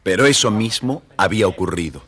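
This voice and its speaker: A man's voice: lowish voice, animated, a little fast, a bit bright. A man narrating a scripted children's story as the storyteller.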